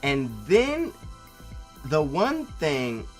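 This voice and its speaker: A man talking as a vlogger in a casual, opinionated reply to viewers, with a halting, rambling and emphatic delivery. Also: fairly high pitch, huge pitch swings, faint music behind. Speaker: YouTube vlogger.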